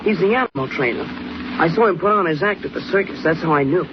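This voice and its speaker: A man's voice: on the high side, animated, quick pace, lightly acted. A male actor playing an eager, slangy young copy boy in a 1940s radio drama. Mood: eager, excited. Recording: muffled, noisy 1940s radio recording.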